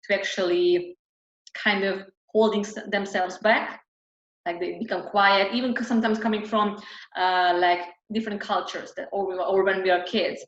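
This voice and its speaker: A woman's voice: mid-range voice, fairly even intonation, normal speed. A woman vocal coach talking about her work in a casual interview, with frequent restarts and nonnative phrasing. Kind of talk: casual reflective interview talk. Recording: roomy, dull lo-fi sound.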